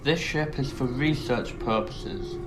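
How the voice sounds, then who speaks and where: A man's voice: medium pitch, takes it slowly, lightly acted, rough recording. A man playing a formal guard on a research spaceship, official and explanatory, in a sci-fi audio drama.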